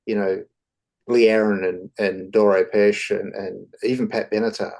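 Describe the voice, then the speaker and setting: A man's voice: lowish voice, level tone, normal speed, creaky, darkish tone. A man interviewing musicians, asking long, rambling questions. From Australia. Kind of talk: casual interview.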